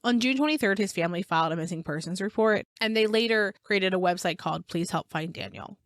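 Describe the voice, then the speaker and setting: A woman's voice: mid-range voice, quick pace, dry recording, studio quality. Blunt, swearing woman podcast co-host. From Maryland. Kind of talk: casual true-crime chat and case recap.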